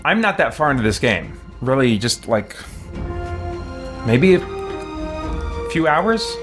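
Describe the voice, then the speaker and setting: A man's voice: mid-range voice, big pitch swings, slow. A man hosting a gaming livestream, talking casually and hesitantly as he thinks aloud in an unscripted ramble. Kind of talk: casual unscripted gameplay commentary. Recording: background music behind the voice. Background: music.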